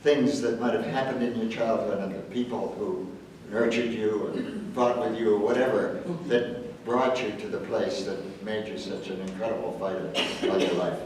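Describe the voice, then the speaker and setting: A man in the audience asking a question in a loud, earnest voice. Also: on the low side, steady tone, moderate pace, hardly any pauses. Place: large room with live audience. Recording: echoey hall, distant mic, faint noise.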